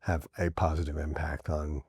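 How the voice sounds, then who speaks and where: A middle-aged man from New Orleans speaks as a podcast guest, earnest and reflective, often restarting his sentences. His voice sits very low and husky. It is recorded dry on a close studio mic. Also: little pitch movement, normal speed, a little mellow, no echo. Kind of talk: casual interview talk.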